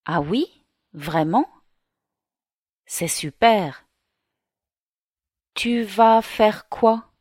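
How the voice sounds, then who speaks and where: A woman reading the part of a young French law student in a slow learner's dialogue. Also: mid-range voice, expressive, darkish tone, no room sound, clean studio sound. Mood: friendly. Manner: slow, carefully articulated reading.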